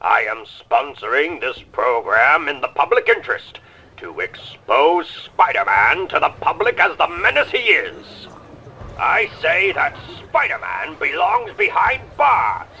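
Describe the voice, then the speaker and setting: A man's voice: on the high side, very animated, a little slow, pushed and bright, breathy edge, exaggerated voice, rough recording. A man playing a blustering, self-righteous newspaper publisher, declaiming indignantly like a newsreel broadcaster. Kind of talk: newsreel-style broadcast announcement in a comic adaptation.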